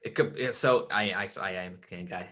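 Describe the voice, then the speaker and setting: A man's voice: fairly low pitch, brisk, fairly bright tone. A man hosting a podcast, chatting casually and playfully, often repeating words as he starts sentences. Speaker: podcast host. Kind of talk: casual chat.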